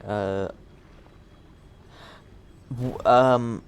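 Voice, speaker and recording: A woman streamer giving casual gameplay commentary with joking asides and low murmurs. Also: fairly even intonation, extremely slow, highs cut off, slight background noise. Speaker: streamer playing a game.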